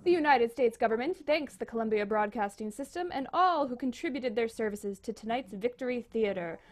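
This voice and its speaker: A formal radio announcer in 1940s style, played by a woman, earnest and scripted. Her voice is somewhat high. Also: lively intonation, a little fast, natural voice.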